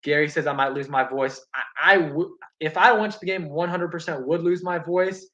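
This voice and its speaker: A young man with a fairly high voice talks loosely from home, drifting between thoughts and restarting sentences as he answers viewer comments. The stream audio is dull and a little lo-fi. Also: normal speed. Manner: relaxed, rambling, many fillers and restarts. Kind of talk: casual live-stream chat reading comments.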